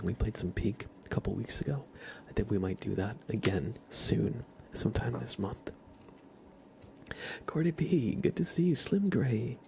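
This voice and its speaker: A man chatting softly as an ASMR livestream host, hushed and often slipping toward a whisper. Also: on the low side, gravelly, a little hiss or hum. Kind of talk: soft-spoken ASMR livestream chat.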